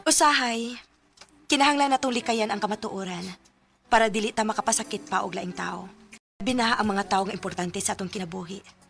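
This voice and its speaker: A woman's voice: medium pitch, fairly quick, creaky, natural voice. An actress playing a guilt-ridden, self-sacrificing young woman in a Filipino radio drama, with a Cebuano accent and an earnest, confiding way of speaking. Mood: wistful. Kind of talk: Filipino radio drama acting with letter narration. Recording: music under the voice.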